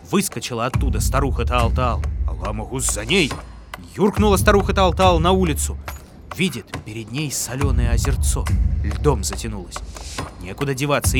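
A man narrating a folk tale as a storyteller, with dramatic, expressive and deliberate phrasing. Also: mid-range voice.

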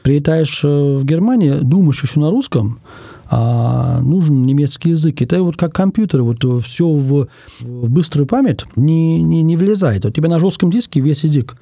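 A man from Germany, a guest in an interview, speaking thoughtfully in casual talk and pausing often to find his words. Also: on the high side, lively intonation, vocal fry, mellow, dry recording, studio quality.

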